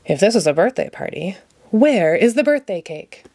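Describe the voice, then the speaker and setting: Lady doing a pompous excitable puppet detective guy for a picture book, big grand announcements. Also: mid-range voice, normal speed, a little hiss or hum. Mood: self-assured. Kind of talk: children's storybook character voice.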